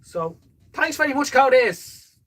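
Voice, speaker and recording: A man's voice: very high-pitched, animated, takes it slowly, a bit bright. A man hosting a casual live stream, rambling along in a jokey, self-deprecating way as he answers viewers. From born in the Netherlands, lives in Ireland.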